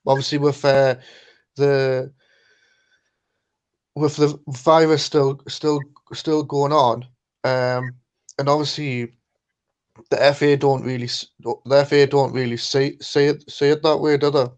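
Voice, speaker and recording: A man joining a casual chat, speaking haltingly with many fillers and restarts. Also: medium pitch, little pitch movement. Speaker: guest on stream.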